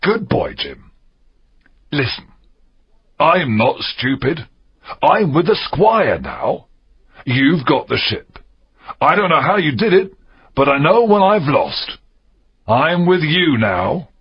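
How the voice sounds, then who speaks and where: An actor playing a fierce, cunning pirate captain in an audiobook drama, gravelly and husky, with a theatrical drawl. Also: male voice, fairly high pitch, huge pitch swings, slow pace, long pauses, dull audio, heavily acted. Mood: conspiratorial. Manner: theatrical, drawling, swooping delivery.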